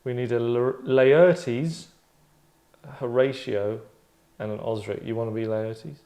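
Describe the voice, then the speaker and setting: A man's voice: medium pitch, steady tone, takes it slowly, dark tone. A man talking casually and comically as he leads a group of actors, rambling and breaking into his own sentences with dry humour. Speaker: table read leader among actors.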